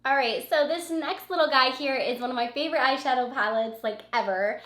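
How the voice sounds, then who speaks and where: A woman's voice: high voice, a little fast, a bit bright, reverberant. A woman beauty vlogger with a cold and a scratchy, sniffly voice, chatting casually and gushing about her favorites, repeating words for emphasis. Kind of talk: casual product review chat.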